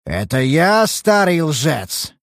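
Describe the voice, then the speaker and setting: A shrill, quavering old man, as a male narrator puts him on, self-pitying and quick to anger. The voice sits well above the reader's own and swings widely in pitch. It sounds close and dry, with no room around it. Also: sing-song, darkish tone, no echo. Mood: scornful.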